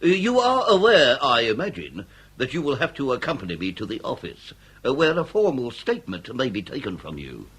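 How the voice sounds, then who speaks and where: Shrewd Victorian detective inspector, animated British voice. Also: male voice, mid-range voice, breathy edge, exaggerated voice. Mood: formal, probing. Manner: formal, probing, deliberate. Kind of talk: period radio drama acting.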